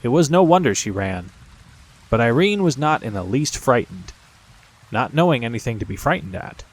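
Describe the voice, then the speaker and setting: A man narrating a classic children's story as an audiobook, in a measured, expressive storytelling manner. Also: mid-range voice, poor audio.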